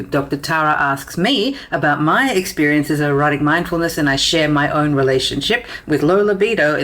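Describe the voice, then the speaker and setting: A woman's voice: low-pitched, fairly bright tone. Articulate woman hosting a podcast. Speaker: podcast host, sexologist. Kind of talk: scripted intro then casual interview chat.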